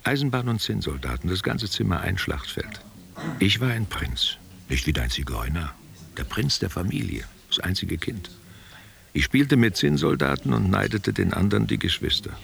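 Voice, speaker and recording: A man playing a nostalgic, tender old man in a literary radio play, husky and gravelly, speaking intimately and reflectively. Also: on the low side, expressive, normal speed, fairly bright tone, full character voice. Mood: wistful reminiscing. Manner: intimate, reflective, drifting between memory and direct address. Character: nostalgic old man, former only child, tender and wistful.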